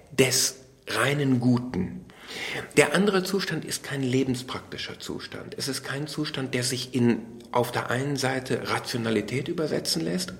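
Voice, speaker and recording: A man with a noticeably creaky, mid-pitched voice speaks as a literary commentator. His phrasing is eloquent and emphatic, thinking aloud in an intellectual, analytical way. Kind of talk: spontaneous analytical commentary on a novel.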